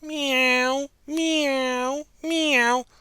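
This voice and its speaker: A nursery-rhyme reader voicing three young kittens who whine and meow in high squeaky voices. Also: big pitch swings, measured pace, pushed and bright, dry recording, studio quality, full character voice. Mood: pleading. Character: three little kittens, young, whiny and meowing.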